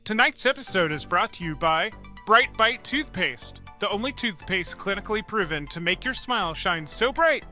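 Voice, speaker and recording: Male actor as peppy radio ad pitchman, high-pitched and extremely bright, tongue-in-cheek sales delivery. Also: exaggerated voice. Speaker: commercial announcer. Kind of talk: parody radio sponsor advertisement.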